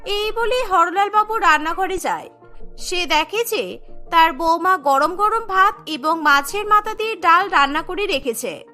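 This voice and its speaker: A woman's voice: very high voice, pushed and bright. A woman narrating a cartoon story in a scripted storytelling style.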